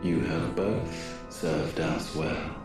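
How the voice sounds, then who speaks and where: A man's voice: extremely low pitch, little pitch movement, extremely slow, full character voice. A man playing a cold, solemn godlike ancient being in a game cutscene, speaking in stately archaic phrases. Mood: solemn, imperious. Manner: archaic, stately, pronouncing speech. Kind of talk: scripted game cutscene acting. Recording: cavernous echo, distant, organ music behind.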